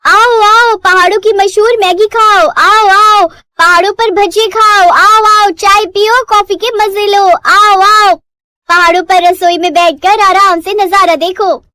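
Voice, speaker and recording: A woman's voice: very high voice, steady tone, slow pace, sharp tone, no room sound, pitched above the performer's own voice, exaggerated voice. A woman voicing an eager female food-stall seller who calls out to customers in repeated sing-song phrases in a cartoon story. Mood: eager calling.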